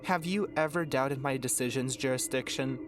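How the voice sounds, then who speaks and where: A man's voice: medium pitch, normal speed, background noise, lightly acted. Man voicing an earnest, guarded female leader. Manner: hushed, earnest.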